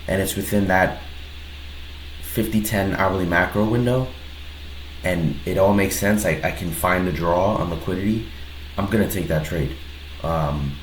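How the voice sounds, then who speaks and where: A man with a low, deep voice and a stuffy head cold talks through a trade in a relaxed, halting way, often pausing and starting over. His voice sounds slightly nasal. The recording has a roomy echo with a faint hiss of background noise. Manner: relaxed, hesitant, many pauses and restarts. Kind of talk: casual screen-recorded trade breakdown.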